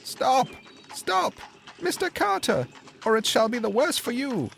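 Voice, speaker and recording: A man voicing a small, bold female sparrow, high and strained, drawing out slow, fierce threats. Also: sing-song, vocal fry, a bit bright, slight background noise, heavily acted. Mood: vengeful.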